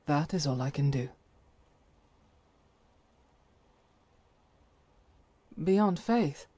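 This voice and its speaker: A woman playing a devout, stern holy warrior in a video game, speaking in solemn, formal, measured phrases. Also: deep voice, mellow, no echo. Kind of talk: scripted video game character dialogue.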